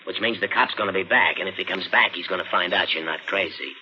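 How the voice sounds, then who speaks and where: Menacing cab driver: slangy, insinuating thug, lowish voice, muffled noisy old radio-drama sound. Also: male voice, fast, bright, forceful tone. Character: menacing working-class male cab driver with criminal secrets. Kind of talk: 1940s radio thriller acting.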